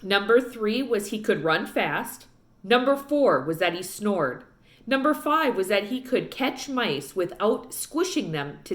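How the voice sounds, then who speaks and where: A woman's voice: mid-range voice, a bit bright. A woman narrating a children's book aloud expressively, recorded with roomy echo.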